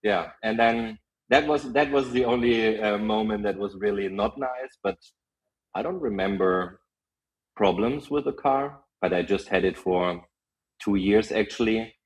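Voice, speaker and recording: A young man with a German accent, a podcast guest telling lively stories in casual chat, full of fillers. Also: fairly low pitch, a little slow, poor audio. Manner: animated storytelling, frequent fillers and laughs.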